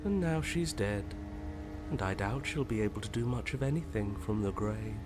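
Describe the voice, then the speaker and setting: An actor playing the blunt inner voice of a hero in a game, dry and matter-of-fact. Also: male voice, lowish voice, moderate pace, close to an everyday voice. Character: inner heroic male voice, calm, blunt and wry. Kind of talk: video game voice acting. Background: game music.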